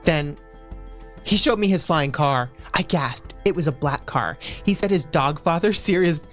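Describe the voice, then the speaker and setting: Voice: medium pitch, expressive, a little slow, fairly bright tone. A streamer giving a comic, mock-serious dramatic reading, stumbling over words and dropping in casual asides. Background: faint background music.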